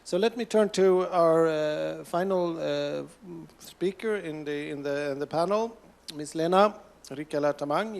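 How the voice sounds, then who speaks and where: A man from Sweden moderating an online panel, speaking haltingly with many fillers and restarts. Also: fairly high pitch, a little mellow. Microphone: video call.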